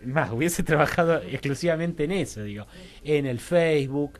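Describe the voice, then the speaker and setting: A man with an Argentine Rioplatense accent, speaking as an interview guest in a halting, digressive way and often checking for agreement. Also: a little high, expressive, faint music behind. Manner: halting, digressive, seeks agreement with frequent tag questions. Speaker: interview guest, social researcher. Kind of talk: informal radio interview explanation. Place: radio studio.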